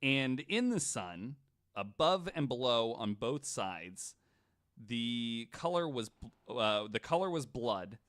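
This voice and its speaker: A man's voice: mid-range voice, sing-song, takes it slowly, fairly bright tone. Irreverent, stammering male podcast host. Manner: irreverent, sweary, stammering with repeated words. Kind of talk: casual storytelling chat, sometimes reading quotes aloud.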